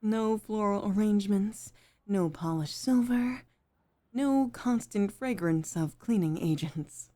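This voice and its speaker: A woman playing a poised, gentle head maid off duty in an intimate ASMR roleplay, speaking with formal, measured politeness. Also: mid-range voice, natural voice. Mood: gentle, welcoming.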